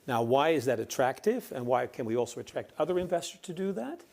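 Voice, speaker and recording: Dutch man, panelist, earnest, mid-pitched voice. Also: big pitch swings, quick pace, vocal fry, darkish tone. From Netherlands. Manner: earnest, emphatic repetition. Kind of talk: conference panel discussion.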